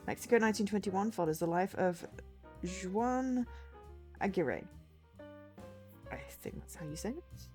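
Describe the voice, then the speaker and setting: A woman's voice: medium pitch, a little mellow. A woman streaming a game while she has a cold, chatting casually and hesitantly, with lots of ums and stumbles over names as she reads out a game description. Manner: chatty and hesitant, full of ums, unsure of name pronunciations. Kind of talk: casual livestream chat, reading a game blurb aloud.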